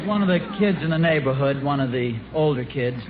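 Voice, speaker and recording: A man acting as an easygoing American radio sitcom dad who gets flustered and blusters. His high-set voice swings up and down with a hesitant, folksy delivery. It comes through a tinny, noisy 1940s recording. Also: expressive, fairly quick, lightly acted. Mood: mildly flustered. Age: middle-aged. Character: easygoing, flustered suburban father full of bluster.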